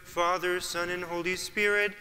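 A clergyman leading an Orthodox litany in a solemn, measured intoning manner. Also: high-pitched, flat delivery, bright, forceful tone, faint music behind. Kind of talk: chanted liturgical litany. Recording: church reverb, faint ambience.

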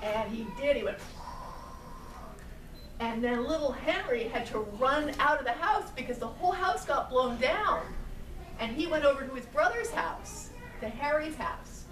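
A woman's voice: high-pitched, expressive, measured pace, fairly bright tone. A middle-aged woman telling a story to young children in simple phrases, often putting questions to them. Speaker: storyteller and puppeteer. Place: daycare classroom, indoors. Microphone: camera mic at some distance. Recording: echoey noisy room, distant camera mic.

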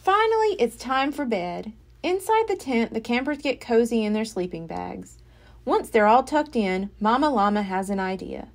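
Woman reading with very lively pitch. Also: moderate pace. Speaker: storybook reader. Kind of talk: expressive read-aloud of a children's picture book.

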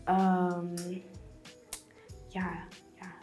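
A young woman from Kenya answers interview questions in an easygoing, chatty way, full of little fillers. She talks slowly and takes her time, with a mid-pitched voice. Soft music plays faintly underneath. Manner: relaxed, chatty, many fillers like "like" and "um". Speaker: interviewee, singer. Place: indoors, seated before a stone-look backdrop.

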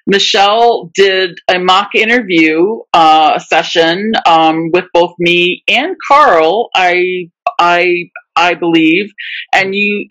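A woman's voice: mid-range voice, fairly bright tone, rough recording. A woman hosting a video call discussion, speaking with a stutter that repeats words and sounds. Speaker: webinar host.

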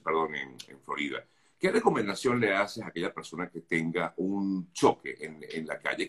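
A man hosting a morning radio interview, chatty and friendly, with lots of fillers. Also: deep voice. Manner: warm, chatty, full of fillers. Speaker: radio host.

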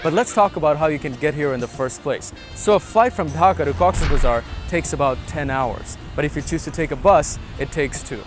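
Young man from Bangladesh, quick joking travel host, slightly high voice, music bed under him. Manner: fast, joking, self-mocking delivery. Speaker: travel vlogger. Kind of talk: upbeat scripted travel vlog. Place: outdoors on a beach.

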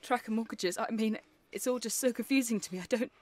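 A woman's voice: on the high side, fast talker, close to an everyday voice. An actress playing a bewildered, distraught young mother in an audio drama. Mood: anxious, desperate. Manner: bewildered, overwrought. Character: distraught young mother auditioning as voiceover artist.

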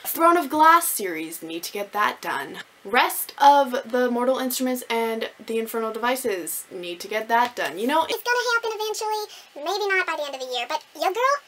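A young woman chatting casually to camera as a book vlogger, animated, gushing and poking fun at herself. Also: high-pitched, normal speed, hardly any pauses. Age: young adult. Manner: animated, gushing, self-mocking. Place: small room at home with bookshelves.

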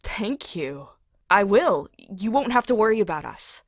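A woman's voice: medium pitch, very animated, a little slow, dry recording, studio quality. A woman acting in an audio drama as a caring, self-doubting young woman, in a natural style. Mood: gentle, sad. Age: young adult.

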